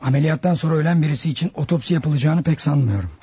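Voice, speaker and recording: An actor playing a stern, menacing ringleader of hired killers in a radio drama, with a husky voice. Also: male voice, fairly high pitch, rapid-fire, dark tone. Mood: commanding, calculating.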